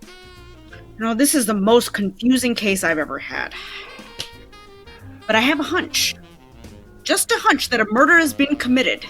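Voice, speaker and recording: A woman's voice: a little high, big pitch swings, a little slow, pauses often, pushed and bright, soft background music, exaggerated voice. A woman playing an earnest, baffled police detective in a radio play, speaking emphatically. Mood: puzzled, probing. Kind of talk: radio play table read.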